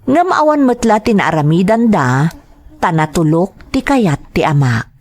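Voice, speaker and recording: A sorrowful young woman voiced by an actress narrates her own life story for an audio drama. The voice is slightly low, with expressive, varied intonation and a reflective manner. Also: measured pace. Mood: sad, reflective. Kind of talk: dramatized first-person narration.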